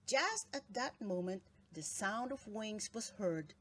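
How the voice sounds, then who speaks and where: A woman reads a children's storybook aloud with expressive, dramatic phrasing. Her pitch sits in a middle range for a woman, and she takes her time, speaking slowly and deliberately. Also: slight background noise. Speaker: storybook reader.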